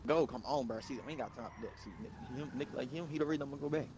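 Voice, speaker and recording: A man's voice: medium pitch, very fast, crowd noise. A young man urging others along in slangy street roleplay banter during an online game. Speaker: fellow player in online gang roleplay. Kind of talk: casual in-character street banter. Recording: noisy game voice chat.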